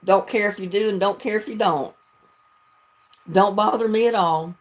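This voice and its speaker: Woman, Southern US accent, mid-pitched voice, folksy, chatty, casual livestream talk. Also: moderate pace. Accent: Southern US, North Carolina. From North Carolina, United States. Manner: folksy, chatty, laughs often. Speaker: video creator hosting a livestream.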